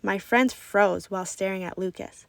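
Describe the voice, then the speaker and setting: A woman reading a children's story aloud in expressive, scripted narration. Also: mid-range voice, normal speed, gravelly, no room sound. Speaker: storybook reader.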